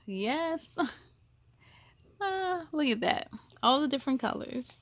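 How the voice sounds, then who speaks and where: A woman reading a children's story aloud as host, expressive and exclamatory, speaking to young listeners. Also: high-pitched, a little hiss or hum. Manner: slow, deliberate, exclamatory delivery for children.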